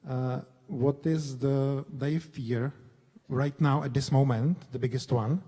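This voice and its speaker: A man moderating a conference panel, speaking haltingly with many fillers. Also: medium pitch, fairly even intonation, mellow, husky. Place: large concert hall on stage. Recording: long hall reverb.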